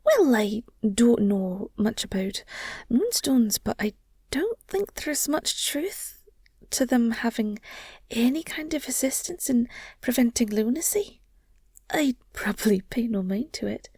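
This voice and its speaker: A woman acts out an affectionate, slightly nerdy werewolf girlfriend in an intimate audio roleplay. Her voice sits fairly high and is very breathy, often slipping toward a whisper. She talks slowly and tenderly, with hesitant pauses and a supportive, gushing manner. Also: big pitch swings, a little mellow, no room sound, full character voice. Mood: curious, warm.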